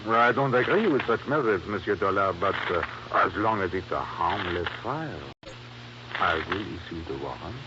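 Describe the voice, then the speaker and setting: Evasive, low-voiced police inspector. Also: male voice, expressive, normal speed, lo-fi sound. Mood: uneasy reluctance. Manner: hesitant, formal, uneasy. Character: evasive, reluctant male colonial police inspector. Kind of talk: 1950s radio drama acting. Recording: noisy, band-limited old radio recording.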